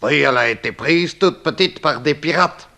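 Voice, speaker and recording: A man's voice: on the high side, normal speed, vocal fry, pushed and bright. An actor playing a genial, chatty Belgian man with a Belgian French accent and folksy tag words, in a 1960s French radio play. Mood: jovial, matter-of-fact. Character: genial, chatty Belgian armless former ink maker.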